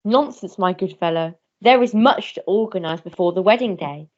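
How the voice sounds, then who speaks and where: A woman's voice: medium pitch, moderate pace, a little mellow, close to an everyday voice. A woman playing a pompous, protective father, an army Major, with formal, proper speech in a radio melodrama. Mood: brisk, insistent. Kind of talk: melodrama radio play acting. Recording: dull lo-fi recording.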